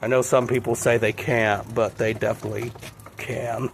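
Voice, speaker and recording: A man with a hoarse voice from a cold, chatting in an easygoing, rambling way in a casual plant vlog. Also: mid-range voice, flat delivery, moderate pace, a little hiss or hum. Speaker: plant vlogger.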